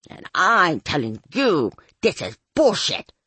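A woman voicing a blunt, belligerent, streetwise male vampire sidekick with a husky, complaining delivery in an audiobook. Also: on the low side, big pitch swings, slow pace, a bit bright, dry recording, exaggerated voice. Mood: indignant.

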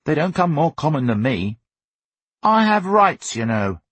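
A man's voice: high-pitched, big pitch swings, moderate pace, slightly rough, dry recording, pitched above the performer's own voice, heavily acted. A man voicing an indignant young schoolboy who argues his innocence in a pompous, grand way for a storybook. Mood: stout, self-pitying.

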